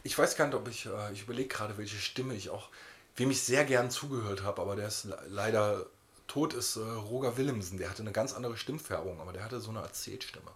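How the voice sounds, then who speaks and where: Low-voiced man hosting a casual podcast. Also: a little fast. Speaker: podcast host. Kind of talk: casual banter.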